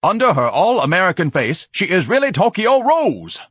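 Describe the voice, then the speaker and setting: A man voicing a pompous, melodramatic man who splutters with grandiose indignation, in a husky audiobook character voice. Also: high-pitched, very animated, moderate pace, fairly bright tone, no room sound, studio quality, pitched above the performer's own voice, exaggerated voice. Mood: hysterical indignation. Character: pompous, hysterically outraged man ranting at the world.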